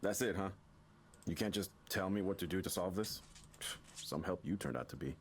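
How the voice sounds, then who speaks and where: An actor playing a stressed, defensive young father who vents in a halting, emphatic, conversational way. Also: low voice, slightly rough. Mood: bitter, let down. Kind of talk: naturalistic game voice acting.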